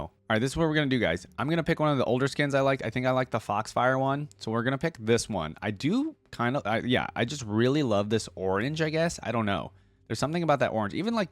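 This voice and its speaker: A man's voice: lowish voice, no echo. A man hosting a reaction video, casually commenting and thinking aloud as he talks to his viewers. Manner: informal, thinks aloud, addresses viewers as guys.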